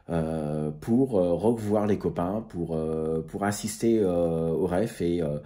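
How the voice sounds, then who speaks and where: Man, low pitch, warm tone, hesitant, many fillers, casual host. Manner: rambling, many fillers and restarts. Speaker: amateur radio channel host. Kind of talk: casual spoken vlog recap.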